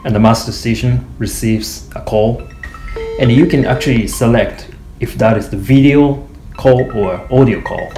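A man giving an informal product demonstration in a hesitant, halting way with frequent pauses. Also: mid-range voice, music in the background. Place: small indoor office or meeting room. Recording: echoey room, faint background noise.

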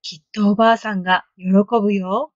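Woman doing a scheming wolf, drawn-out gloating lines, dull lo-fi sound, dry. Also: mid-range voice, steady tone, no echo, natural voice. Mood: sly. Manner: slow, gloating, drawn-out menace. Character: sly hungry male wolf, scheming. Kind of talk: storybook read-aloud.

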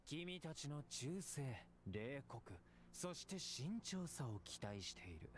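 An actor playing a confident young military commander in a video game, theatrical, breezy yet menacing. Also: male voice, on the high side, sing-song, normal speed, slight background noise. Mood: commanding. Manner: theatrical, speechifying. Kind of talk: Japanese video game voice acting.

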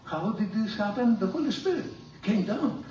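An older man, a priest, giving a theology lecture in an animated, emphatic way, filmed from across a large room. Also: normal speed, a little hiss or hum. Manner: animated, gesturing, emphatic rises in pitch. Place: large room with hard walls. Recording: roomy hall echo, from far off.

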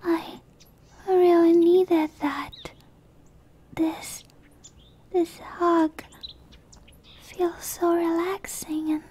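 Woman acting a very high, whispery shy dryad. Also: full character voice. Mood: grateful, timid. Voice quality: soft, breathy whisper. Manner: hesitant, stammering, apologetic, slow. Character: shy, gentle dryad monster woman bound to a tree. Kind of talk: ASMR roleplay.

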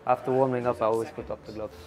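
A man's voice: mid-range voice, level tone, very fast, crowd noise, lightly acted. A man putting on a Finnish accent, playing a chatty, curious beginner climber in his thirties inside a large climbing gym. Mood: friendly, curious. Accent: put-on Finnish. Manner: chatty, asks lots of questions.

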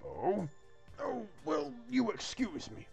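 A man voicing an earnest, flustered young knight who protests politely and haltingly in a comic read-through. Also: extremely high pitch, wildly swooping pitch, gravelly, faint music behind, voice pushed up, full character voice.

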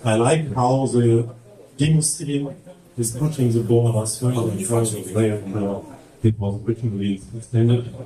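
A middle-aged man with a German accent, presenting slides at a conference in halting English with many fillers. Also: mid-range voice, fairly even intonation, mellow. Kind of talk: presenting slides at a tech talk. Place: large conference hall with projector. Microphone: handheld mic. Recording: echoey hall, mic at distance.

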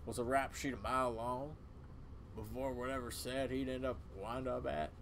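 A man's voice: mid-range voice, flat delivery, normal speed, fairly bright tone, a little hiss or hum, close to an everyday voice. A man voicing a grim, self-righteous old small-town cult elder with a folksy way of talking. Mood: cold justifying.

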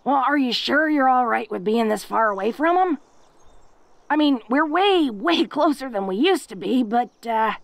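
Woman acting a gravelly, tough young orc sweetheart. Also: on the high side, fairly bright tone, no room sound, exaggerated voice. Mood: caring. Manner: casual, folksy, hesitant with frequent stammers and "huh?" tags. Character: tough, bold young orc woman, secretly awkward and tender. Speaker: voice actor in audio roleplay. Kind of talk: intimate romantic audio roleplay acting.

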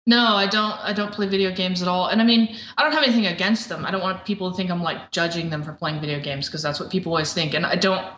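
Woman guest chatting casually, lowish voice, echoey room. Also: fast talker, hardly any pauses, a bit bright. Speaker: podcast guest. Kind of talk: casual chat. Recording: roomy echo, faint background noise.

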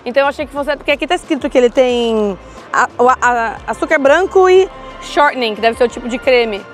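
Young woman vlogger, high-pitched, chatty food tasting chat, light music bed. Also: a little fast. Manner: chatty, describes food tastes. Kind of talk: casual travel vlog chat.

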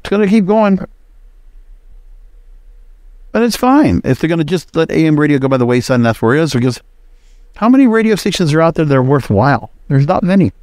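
Low-pitched, even-handed male podcast host. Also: no echo, studio quality. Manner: rambling, opinionated. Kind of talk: casual solo podcast commentary.

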